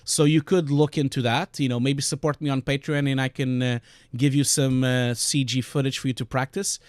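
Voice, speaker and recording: A man's voice: medium pitch, flat delivery, moderate pace, dry recording, clean studio sound. A man with a Portuguese accent chatting casually on a live stream, answering questions, rambling with many fillers and restarts. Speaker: streamer and compositing teacher.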